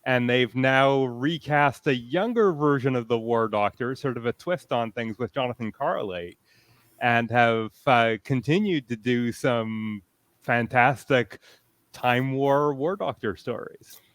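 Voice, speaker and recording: Male panelist from Canada, mid-pitched, dry close sound, informed conversational delivery. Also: a little slow, fairly bright tone, no echo. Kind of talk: informed fan discussion.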